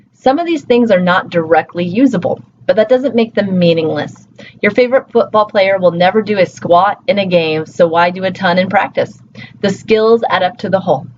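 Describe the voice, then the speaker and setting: A woman who teaches college, giving a casual recorded lecture, full of "um"s and quick self-corrections. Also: mid-range voice, lively intonation. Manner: casual and chatty, with many fillers and self-corrections. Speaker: college instructor. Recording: slightly muffled, faint hiss.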